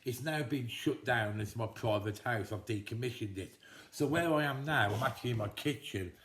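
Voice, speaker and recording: A man's voice: mid-range voice, normal speed, fairly bright tone. Chatty fifties man in an echoey kitchen. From England, Telford. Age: 50s. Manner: rambling and chatty, with frequent restarts. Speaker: vlogger answering viewer questions. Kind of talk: casual chat to camera. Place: home kitchen.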